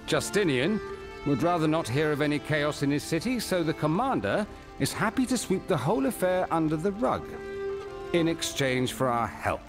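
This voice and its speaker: An actor playing a grave, weary male mentor in a video game, speaking in a solemn, measured, formal way over music. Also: a little high, sing-song. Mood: measured, reassuring. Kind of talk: dramatic video game dialogue. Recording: music behind the voice.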